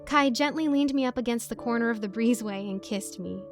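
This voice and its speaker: A woman's voice: a little high, a little fast, music in the background. A woman reading a scripted first-person horror story as its narrator, in a dramatic style.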